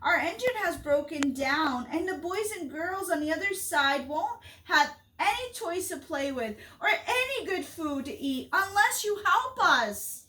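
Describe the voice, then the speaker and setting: A woman's voice: extremely high pitch, lively intonation, measured pace, few pauses, pushed and bright, reverberant, distant mic, slight background noise, voice pushed up, full character voice. A woman voicing a chorus of dolls and toys who plead for help.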